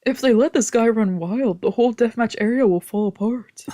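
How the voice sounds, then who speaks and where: Streamer voicing gossipy, excitable bar worker, lifted pitch. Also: moderate pace, no echo, clean studio sound, lightly acted. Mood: gossipy, concerned. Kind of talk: visual novel character read-aloud. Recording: game music behind the voice.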